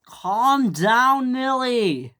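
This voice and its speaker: Casting: woman as steady male storybook engine, unhurried, soothing yet firm, slightly raised pitch. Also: full character voice. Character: calm, sensible male train engine Steven.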